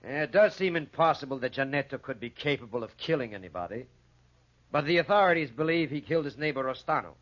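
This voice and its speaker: An actor in a 1940s radio drama playing a dry, knowing country sheriff with a measured, pointed manner. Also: male voice, a little high, lively intonation, a bit bright. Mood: grave, official. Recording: muffled 1940s radio recording.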